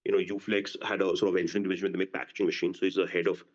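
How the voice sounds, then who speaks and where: A man speaking as a company executive in unscripted business Q&A, halting and often restarting his phrases, on a conference call. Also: sits low, flat delivery. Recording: dull, lo-fi conference call audio.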